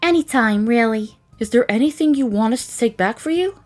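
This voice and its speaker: A woman voicing earnest, eager young cartoon crew members with expressive, theatrical phrasing, in a fan comic dub. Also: a little high, fairly quick, lightly acted. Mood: upbeat, explaining.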